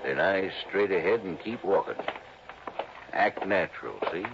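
A man's voice: low voice, animated, unhurried. An actor putting on a menacing, slangy American mob thug, a crude comic tough guy in a 1960s radio drama. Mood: menacing, mocking. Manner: slangy, threatening, ends phrases with "see?". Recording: dull, noisy old radio recording.

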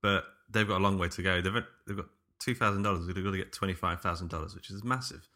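A man's voice: deep voice, quick pace, bright, forceful tone. A man hosting a podcast in casual chat, rambling enthusiastically with some hesitation. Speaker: podcast host.

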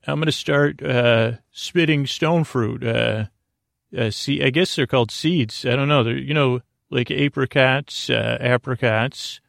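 Gravelly, meandering male bedtime podcast host. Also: mid-range voice, no echo, studio quality. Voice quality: gravelly, creaky. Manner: halting, meandering, self-interrupting; gentle, soothing, reassuring. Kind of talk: rambling, whimsical bedtime monologue.

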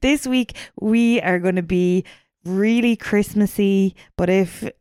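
A woman's voice: mid-range voice, slow, darkish tone, clean tone, dry recording, clean studio sound. A woman with an Irish accent co-hosting a podcast in casual chat over drinks, playful, giggly and sweary. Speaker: podcast co-host.

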